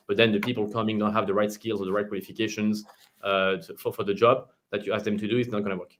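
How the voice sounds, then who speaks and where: A man, a company managing director, explaining how his product works in a webinar, in a pitching way.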